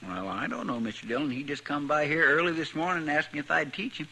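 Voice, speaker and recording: An actor playing an eager, deferential marshal's sidekick in a 1950s radio Western, raspy and rustic in his talk. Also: on the high side, quick pace, pushed and bright, slight background noise, rough recording. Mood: earnest. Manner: drawling, rustic grammar, defensive.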